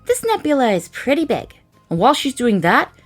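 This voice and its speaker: A woman voicing earnest, eager young cartoon crew members with expressive, theatrical phrasing, in a fan comic dub. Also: sits high, fairly quick, soft background music, full character voice. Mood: upbeat, explaining.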